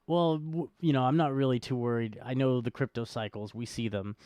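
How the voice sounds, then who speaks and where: Male co-host, mid-pitched, very clear and dry-sounding, casual joking commentary. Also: fairly even intonation, brisk, mellow, no echo. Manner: wry, joking. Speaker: co-host of a cryptocurrency show. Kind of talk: casual podcast banter and commentary.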